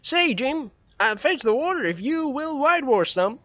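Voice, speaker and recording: A man's voice: very high voice, sing-song, normal speed, bright, forceful tone, no room sound, pitched above the performer's own voice, exaggerated voice. A man voicing a scheming young boy who eagerly coaxes and bargains, in a dull, dry recording. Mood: coaxing.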